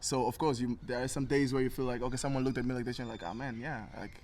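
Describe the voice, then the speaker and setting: A man's voice: medium pitch, fairly even intonation, racing, a little mellow, a little hiss or hum. A young man from Tanzania talking casually and earnestly as an interview guest, thinking things through. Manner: reflective, earnest.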